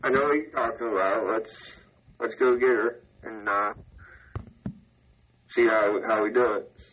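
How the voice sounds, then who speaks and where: A man playing a calm hillbilly sidekick who answers in short, mild, agreeable lines. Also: fairly low pitch, measured pace, lo-fi sound, slight background noise.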